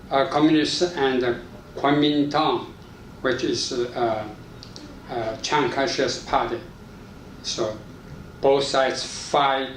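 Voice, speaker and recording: An older man with a Chinese accent gives interview answers at a slow pace. His English is simple and broken into halting fragments. The recording is roomy and distant from the mic, with faint noise. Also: mid-range voice. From China.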